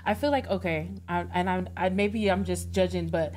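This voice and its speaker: A woman's voice: on the low side, fairly even intonation, moderate pace, darkish tone, soft background music. A woman co-hosting a podcast, asking questions and affirming her guest in casual slang. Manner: supportive, affirming, slang-heavy. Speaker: podcast co-host.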